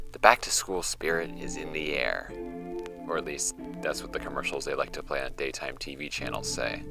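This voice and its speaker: A man acting as an amateur podcaster who presents mysterious case files in a wry, measured way. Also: very deep voice. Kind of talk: scripted horror fiction podcast narration. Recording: music bed behind the voice.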